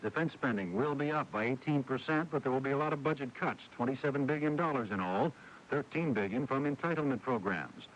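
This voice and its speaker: American male radio anchor, mid-pitched, scripted bulletin delivery, muffled early-eighties broadcast with faint hiss. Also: animated, brisk. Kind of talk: scripted network news bulletin. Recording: dull, hissy 1980s radio broadcast recording.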